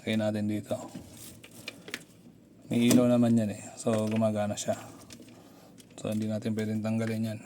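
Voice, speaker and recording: A man's voice: lowish voice, monotone, normal speed, long pauses. A man giving a casual, conversational product review, talking through each step as he demonstrates. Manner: conversational, narrates actions step by step. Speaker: motorcycle gear reviewer and content creator. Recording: clear background noise, room ambience.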